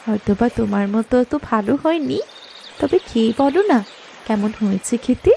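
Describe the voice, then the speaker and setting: Young cartoon village wife acted by a woman: high, animated, earnest and pleading, slight noise. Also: moderate pace, creaky. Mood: gentle. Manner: pleading, coaxing. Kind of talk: Bengali cartoon story voice acting.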